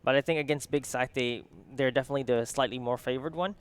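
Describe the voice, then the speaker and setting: A man's voice: medium pitch, fast, clear, resonant tone. A male esports analyst giving panel commentary. He speaks hesitantly, with many fillers and restarts.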